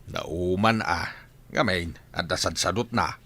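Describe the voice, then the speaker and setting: An actor playing a grumbling, teasing village husband and father in an Ilocano radio drama, with a husky voice. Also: low voice, unhurried, a bit bright, full character voice.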